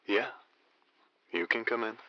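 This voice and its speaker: An actor playing a wry, laid-back late-night radio host in an audio drama. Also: male voice, lowish voice, a little slow, dull audio, a little hiss or hum, lightly acted. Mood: wry, polite.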